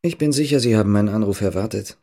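An actor playing a resolute, guarded man on the run in a German radio drama, speaking in a measured way. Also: on the low side, dark tone.

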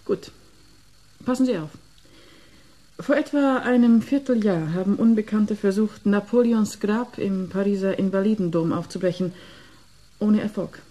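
A woman's voice: medium pitch, moderate pace, long pauses, dark tone, close to an everyday voice. A woman playing a cool, determined agent in a German radio play, composed, businesslike and assertive.

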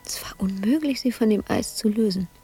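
An actress playing an anguished, brooding woman sculptor confined in an asylum in a radio drama, with a husky, intimate delivery that dips into whispers. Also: medium pitch, fairly quick, mellow. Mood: hushed, haunted. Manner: intimate monologue, dropping into breathy whispers.

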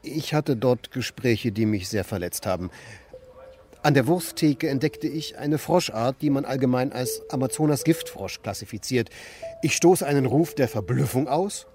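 An actor playing an idle, melancholy married man in a radio play, speaking in deliberate, formal sentences with a deadpan manner. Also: medium pitch, close to an everyday voice. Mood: earnest, deadpan. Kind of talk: German radio play acting and narration.

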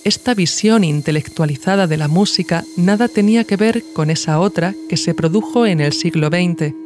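A woman with an accent from Spain narrates a documentary essay. She reads from a script in a formal register, keeping a measured and reflective tone as a podcast author would. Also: on the low side, darkish tone.

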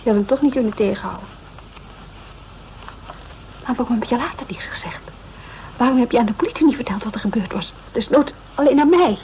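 Actress as distressed woman, insistent, reproachful, higher voice, Dutch radio play, dull slightly noisy sound. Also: expressive, brisk, pauses often, lightly acted. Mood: grieving, reproachful. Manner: pressing, reproachful questioning. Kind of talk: Dutch radio drama acting. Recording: dull, noisy old radio drama recording.

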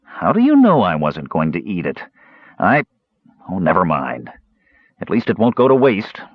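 A man's voice: deep voice, wildly swooping pitch, moderate pace, husky, no room sound, dull audio, full character voice. A man voicing a big, blunt warrior in a fantasy storybook, grumbling in exasperation at his companions. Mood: indignant. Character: big male warrior, gruff exasperated protective twin brother.

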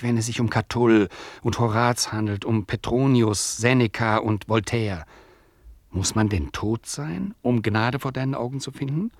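An actor playing a wry, teasing author-narrator in a literary radio play, ironic and rhetorical. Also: male voice, medium pitch, no echo, studio quality. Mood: ironic, provoking. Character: wry, teasing male author-narrator sparring with his listener.

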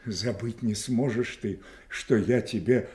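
A man's voice: fairly low pitch, takes it slowly, darkish tone, echoey room. An older man acting a proud, wrathful, wounded old king and father in a theatrical, declamatory classical tragedy style. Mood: pleading. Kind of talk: classical stage tragedy, audio play acting.

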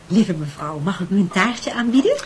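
An actress playing a sociable middle-aged hostess in a radio drama, genteel and chatty. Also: female voice, medium pitch, lively intonation, moderate pace, slight background noise, lightly acted. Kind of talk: radio drama acting in Dutch.

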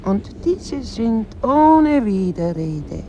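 A woman's voice: on the high side, big pitch swings, drawn-out words, warm tone, slight background noise, heavily acted. An actress playing a solemn woman reciting old maxims in a radio play.

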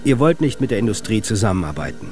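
An actor playing a self-justifying music industry manager, in a measured, explanatory radio monologue. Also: male voice, on the low side, big pitch swings, darkish tone. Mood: formal, self-justifying. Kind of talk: radio feature monologue and letter reading. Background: faint music.

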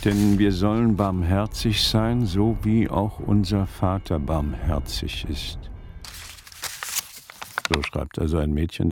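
A man playing a learned, brooding magister in a German radio drama, speaking deliberately and rhetorically. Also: low voice. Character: learned, brooding older magister in old Italy.

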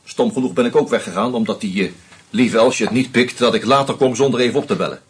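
An actor playing a shrewd screenwriter who methodically unmasks a killer, with a husky voice and dry irony. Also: male voice, mid-range voice, quick pace, slight background noise, natural voice. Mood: accusing. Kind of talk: radio play acting.

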